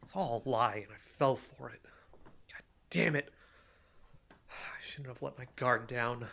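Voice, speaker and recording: Guy playing a suspicious young amateur sleuth, bright tense voice, super self-serious, audio drama. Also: medium pitch, a little slow, gravelly. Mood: betrayed, agitated. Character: earnest, suspicious young male amateur investigator named Kyle. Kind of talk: audio drama, dictating covert voice notes.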